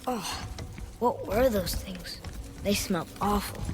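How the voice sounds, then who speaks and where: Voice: mid-range voice, slow, close to an everyday voice. An actor playing a curious, eager young boy in a video game, talking in an earnest, inquisitive, casual way. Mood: wide-eyed. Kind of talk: dramatic video game acting.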